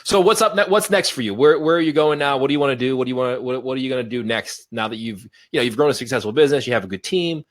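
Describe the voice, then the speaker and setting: A man hosting a casual interview show, asking eager questions and reacting quickly. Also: medium pitch. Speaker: show host.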